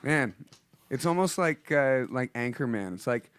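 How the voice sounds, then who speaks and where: A man hosting a live stream, singing slow drawn-out lines and then chatting casually with his bandmates. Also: mid-range voice, clear, resonant tone, no echo, studio quality. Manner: slow drawn-out singing over guitar, relaxed joking talk. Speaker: host and musician. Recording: music playing behind voice.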